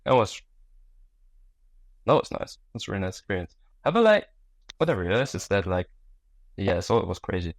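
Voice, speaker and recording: Low-voiced man chatting, dry close sound. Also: very animated, brisk, long pauses, dry recording. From Germany. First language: German. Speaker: interview guest. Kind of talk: casual interview chat.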